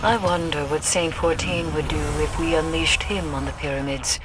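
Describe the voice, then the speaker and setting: A woman's voice: sits low, fairly even intonation, normal speed, heavy background noise, lightly acted. An actress playing a solemn, cryptic female warlock scholar in a video game, with formal, grave phrasing. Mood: brooding. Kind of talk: video game cutscene acting.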